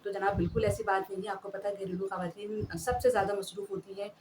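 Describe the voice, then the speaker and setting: A woman's voice: mid-range voice, fairly even intonation, fast. A woman hosting an etiquette talk, speaking earnestly and politely to her listeners. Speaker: host. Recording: distant mic with clear background noise.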